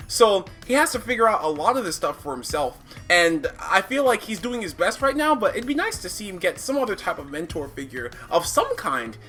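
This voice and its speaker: A bright, clear-cut voice with a medium pitch gives a casual review over faint background music. The delivery is conversational and opinionated, thinking aloud with plenty of asides, and the intonation moves around in a lively way. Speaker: comic book reviewer.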